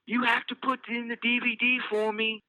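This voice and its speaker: A man's voice: little pitch movement, normal speed, bright, forceful tone, dry recording, heavily acted. Man doing a squealing four-year-old girl. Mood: eager. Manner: high squealing childlike pleading. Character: excited little girl, four, eager.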